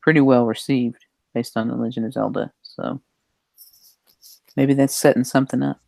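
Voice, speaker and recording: A man giving measured, tentative opinions in a casual podcast discussion. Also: medium pitch, level tone, creaky, darkish tone, dry recording. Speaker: podcast panelist.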